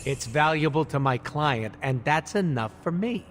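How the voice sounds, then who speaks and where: Man playing a wisecracking mercenary antihero, wildly animated. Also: fairly high pitch, normal speed, faint music behind, lightly acted. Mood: cocky, joking. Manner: jokey, theatrical, sarcastic delivery. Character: wisecracking, irreverent male mercenary antihero, cocky and hyperactive. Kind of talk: video game cutscene acting.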